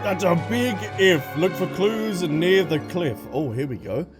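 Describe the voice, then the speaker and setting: Streamer, mid-pitched, casual gameplay commentary, reactive, thinking aloud, light music behind. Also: lively intonation, a little slow. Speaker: video game streamer.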